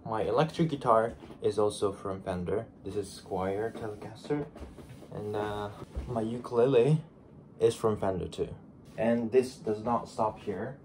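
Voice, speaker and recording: A man's voice: fairly low pitch, unhurried, darkish tone, a little hiss or hum. A Japanese man in his twenties with a Japanese accent, chatting casually to camera in his bedroom, groggy from just waking up. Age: 20s. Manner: chatty, self-deprecating, restarts phrases. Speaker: vlogger. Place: small bedroom, indoors. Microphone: camera in front at desk.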